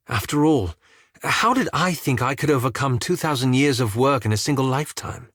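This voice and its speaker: A man playing an earnest, cautious, scholarly adventurer in a fantasy role-playing game, speaking formally and articulately in measured, courteous phrases. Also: medium pitch, no room sound, studio quality, natural voice. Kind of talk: scripted video game character dialogue.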